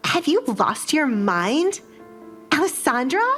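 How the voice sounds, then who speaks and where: Spoiled noble daughter played by a woman, hateful and shrill, audio romance drama, music underneath. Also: very animated, slow, a bit bright, heavily acted. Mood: indignant. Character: spoiled, hateful, vain young noblewoman, easily disgusted.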